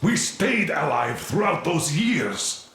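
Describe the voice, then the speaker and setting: Game casting: hard, driven commander, middle-aged, slow and gravelly, commanding. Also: male voice, a little high, big pitch swings, fairly bright tone, full character voice. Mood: grim, forceful. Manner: emphatic, commanding. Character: hard, driven military commander protecting his people. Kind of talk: dramatic video game acting. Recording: very echoey, reverberant room sound.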